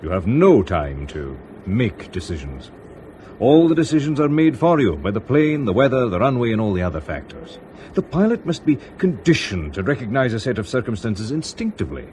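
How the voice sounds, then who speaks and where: An actor playing a loyal, experienced airline chief in a radio drama, with a clipped, emphatic and assured manner. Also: male voice, medium pitch, very animated, normal speed, darkish tone, slight background noise, close to an everyday voice. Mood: firm, emphatic. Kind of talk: BBC radio drama acting.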